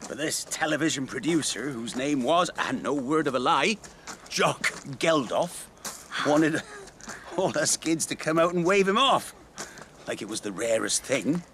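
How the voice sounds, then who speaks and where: A man's voice: fairly high pitch, expressive, unhurried, fairly bright tone, a little hiss or hum. An actor playing a wistful middle-aged British working man, a folksy rambling storyteller in a naturalistic radio drama. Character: wistful middle-aged local factory worker, old family friend.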